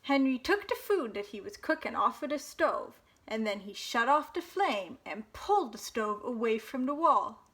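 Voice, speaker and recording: A woman hosting storytime, reading a children's picture book aloud in an expressive, dramatic way for young listeners. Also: fairly high pitch, moderate pace, few pauses.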